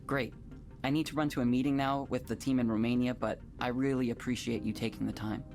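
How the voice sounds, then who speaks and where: A man's voice: medium pitch, steady tone, fairly quick, gravelly, darkish tone, faint music behind, lightly acted. Actor as a composed, courteous male tech manager. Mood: polite, friendly. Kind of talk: visual novel voice acting.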